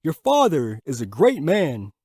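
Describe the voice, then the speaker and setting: A man's voice: on the high side, wildly swooping pitch, a little slow, darkish tone, husky, no echo, clean studio sound, full character voice. A man playing a genial, gracious doctor and host in an audio story. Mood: gracious, welcoming.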